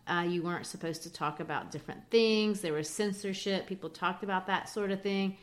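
A woman's voice: lowish voice, a little fast. A woman in her forties who grew up in Brazil, co-hosting a casual book talk and explaining things thoughtfully with small fillers. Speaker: podcast co-host.

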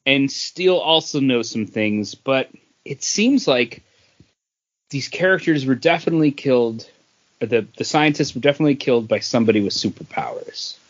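A man's voice: mid-range voice, animated, moderate pace, muffled recording. A man co-hosting a podcast, chatting casually about comics and beer. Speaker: podcast host.

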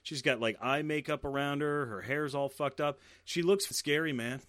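A man's voice: on the high side, moderate pace, fairly bright tone, no room sound. A man co-hosting a casual movie review chat, blunt and laughing often, swearing freely. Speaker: movie review podcast co-host.

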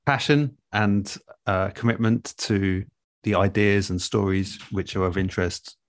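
Low-voiced, thoughtful man in conversation. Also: fairly even intonation, measured pace. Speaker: guest, radio and podcast producer. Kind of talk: relaxed interview conversation.

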